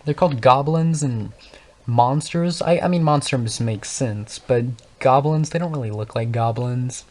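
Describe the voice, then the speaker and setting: A man's voice: mid-range voice, unhurried, darkish tone. Young man rambling and stuttering over gameplay. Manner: stutters and stumbles over words; rambling, thinks aloud with many fillers. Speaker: gaming channel host. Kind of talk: unscripted casual talk over gameplay.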